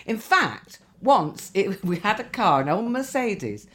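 A woman's voice: mid-range voice, big pitch swings, slow. A woman, a podcast co-host telling rambling, sweary anecdotes in casual chat, her nose slightly stuffy.

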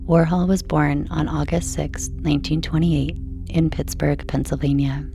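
A woman narrates quietly and slowly, as if easing listeners to sleep. Her voice is low with a gravelly fry, and her intonation stays flat and steady. She sounds measured and soothing throughout. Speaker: host and narrator. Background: background music.

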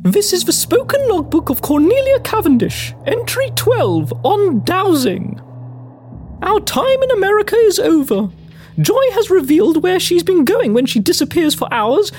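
A prim British lady adventurer of the Victorian age, acted by a woman, speaks in a very high, lilting voice. She is grandiloquent and self-important, as if reading her occult logbook for a radio play. There is faint noise behind her. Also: darkish tone. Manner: formal, grandiloquent, theatrical. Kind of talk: scripted first-person audio drama narration.

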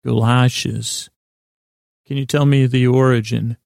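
A man with a gravelly, mellow voice delivers a meandering comic bedtime monologue as a podcast host. His pitch stays fairly flat while he stammers, halts and drifts into tangents. The sound is close and dry. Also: no room sound. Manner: meandering, halting, stammering, trails off into digressions. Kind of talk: rambling improvised bedtime comedy monologue.

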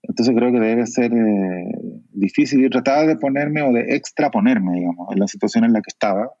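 A Chilean novelist in his forties with a Chilean Spanish accent, speaking thoughtfully, with many pauses and fillers. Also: medium pitch, warm tone, no echo, dull audio. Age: 40s. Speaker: novelist guest. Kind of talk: reflective conversation.

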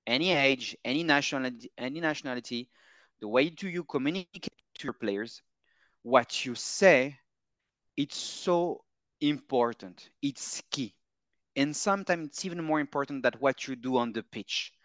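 A man in his thirties with a French accent, speaking in a smooth, resonant voice that sounds close and dry. He talks his way through answers with pauses, fillers and repeated words. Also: medium pitch, a bit bright, dry recording. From France. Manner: hesitant, repeats words and phrases, many fillers. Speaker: mental coach. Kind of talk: relaxed interview answers.

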